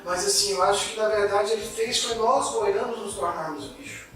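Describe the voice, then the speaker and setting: Brief: high-voiced, bright, indignant man from Goiás; political co-host; distant mic in an echoey room. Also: faint music behind. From Goiás, Brazil. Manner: indignant, halting with many restarts. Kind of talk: casual political discussion.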